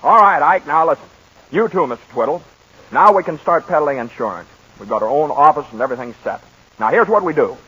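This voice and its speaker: A man's voice: fairly high pitch, animated, pushed and bright, lo-fi sound. An actor playing an eager, persuasive man who coaxes and bosses people along, in 1940s radio drama style. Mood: bossy. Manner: brisk, coaxing, salesman-like. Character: fast-talking scheming man, eager and persuasive.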